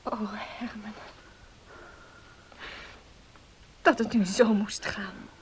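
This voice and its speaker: An actress playing a gentle, pious young bride in a radio play, speaking in a soft, breathy, earnest way. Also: female voice, mid-range voice, fairly even intonation, a little slow, noisy background, lightly acted. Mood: sad, hushed. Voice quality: breathy, hushed. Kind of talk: radio drama acting.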